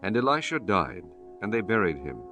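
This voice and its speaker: A man reading scripture as an audiobook narrator in a solemn, measured, formal manner. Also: on the low side, big pitch swings, over music.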